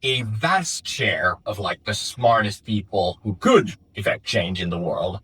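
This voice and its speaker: Husky low-voiced young Finnish founder. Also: male voice, measured pace, pushed and bright, no room sound, poor audio. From Finland. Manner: thoughtful, many fillers and restarts. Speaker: startup founder. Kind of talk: conversational interview answers. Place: office in Finland.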